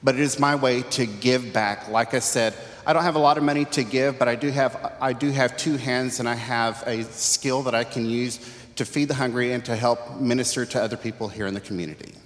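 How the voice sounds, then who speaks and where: Man from Texas, mid pitch, earnest testimony, reverberant church hall. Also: fairly even intonation, brisk. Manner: earnest, conversational. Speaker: church panel member. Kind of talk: personal testimony. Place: church sanctuary.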